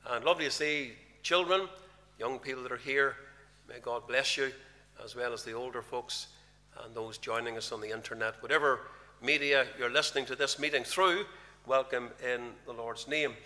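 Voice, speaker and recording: Older man, bright voice, church reverb. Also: mid-range voice, moderate pace. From Northern Ireland. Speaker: minister leading a church service. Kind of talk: prayer and service announcements. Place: large church hall with a congregation. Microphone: lectern mic at a pulpit.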